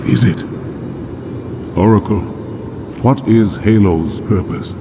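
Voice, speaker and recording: An actor playing a grave alien warrior who speaks in a solemn, measured, commanding way. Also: male voice, heavy background noise. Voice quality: deep and gravelly. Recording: loud game sound effects behind.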